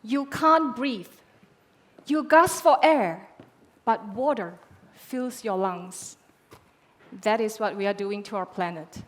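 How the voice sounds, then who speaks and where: A woman in her thirties from Malaysia, a climate scientist giving a rehearsed pitch on a conference stage with dramatic, measured pauses.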